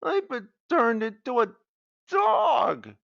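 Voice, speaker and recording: A man's voice: big pitch swings, takes it slowly, vocal fry, fairly bright tone, dull audio, exaggerated voice. A man doing a sick young man, Maximuffy. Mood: panicky. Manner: groaning, then panicky and shrill. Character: sick young man who turns into a dog.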